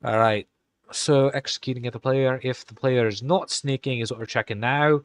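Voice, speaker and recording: A man's voice: medium pitch, fairly even intonation, moderate pace, dry recording. A young man live-streaming in a put-on Irish accent that slips now and then, chatting casually and muttering to himself while he types game commands.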